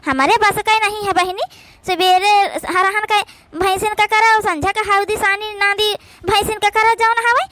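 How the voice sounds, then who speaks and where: A woman acts out a work-shy village daughter-in-law in a comedy cartoon. She has a high, sharp voice and an Awadhi accent. She is sly, talking round things with plenty of filler. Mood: grumbling. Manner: evasive, rambling with filler phrases.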